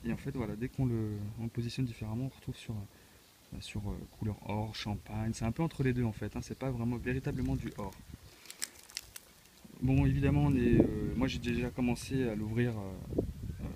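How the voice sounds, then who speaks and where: A man's voice: mid-range voice, fairly even intonation, moderate pace, background noise. A man with a cold giving a casual, hesitant unboxing walkthrough as a tech reviewer, with many filler sounds. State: has a cold.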